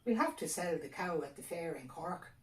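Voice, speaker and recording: Sceptical farm wife lady reading her, sharp tongue, storybook, echoey. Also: lowish voice, lively intonation, normal speed, far from the mic, natural voice. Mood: resolved.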